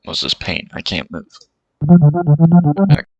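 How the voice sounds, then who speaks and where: A man's voice: takes it slowly, no echo. A man reading a visual novel's dialogue aloud and adding casual remarks as he plays. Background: game music.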